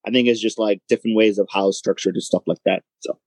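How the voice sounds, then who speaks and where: A man's voice: lowish voice, steady tone, fast, a little mellow, no room sound. A man guest in a casual chat, speaking hesitantly with many ums.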